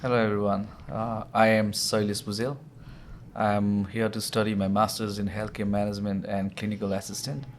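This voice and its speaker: A man from Nepal, a graduate student, explaining slowly and repeating himself. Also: on the low side, little pitch movement, a little hiss or hum. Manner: halting, repeats words while explaining. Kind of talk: casual interview.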